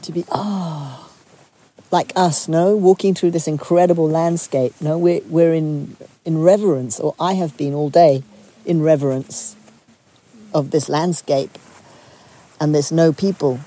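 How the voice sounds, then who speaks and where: A retreat leader from Zambia giving a soft, reflective talk to a group, pausing often and restarting phrases. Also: mid-range voice, rough recording.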